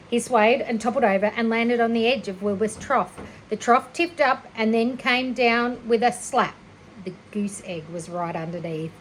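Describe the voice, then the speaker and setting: A woman reading a children's story aloud like a teacher, expressive and a little unpolished, with small stumbles and self-corrections. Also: fairly high pitch, slight background noise, poor audio. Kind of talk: children's storybook read-aloud, narration with character voices.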